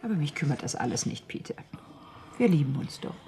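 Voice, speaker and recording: An actress in a radio drama playing a devoted, tender bride-to-be, soft and gently insistent, with some noise on the recording. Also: female voice, deep voice, very animated, a little fast. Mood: tender, pleading.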